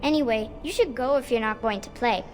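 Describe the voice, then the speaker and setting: A woman's voice: fairly high pitch, a little fast, faint music behind, close to an everyday voice. An actress voicing a playful, stubborn little girl with a breezy, childlike manner, in a fantasy video game with echoing reverb. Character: small girl, playful, stubborn, eerily carefree. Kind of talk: dramatic video game acting. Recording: long echoing hall reverb.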